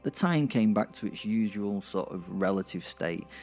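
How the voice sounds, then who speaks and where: A man's voice: lowish voice, lively intonation, darkish tone, soft background music, lightly acted. A man playing a brash, sardonic werewolf who tells his violent story in a rambling, conversational way with wry asides, in an audio drama. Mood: animated, incredulous. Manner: rambling, conversational, halting for effect, repeating words. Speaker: narrator and main character of an audio drama.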